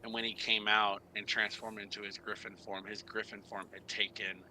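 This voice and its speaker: Male tabletop player, very bright, forceful low voice, halting in-character storytelling, faint background noise. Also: little pitch movement, poor audio. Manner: halting, self-correcting, searching for words.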